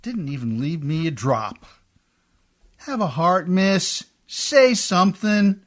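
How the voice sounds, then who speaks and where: A rough, hard-drinking boatman from a radio play, acted by a man. He drags his words out slowly in folksy, unschooled speech, whining and pleading as he grumbles. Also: high-pitched, animated.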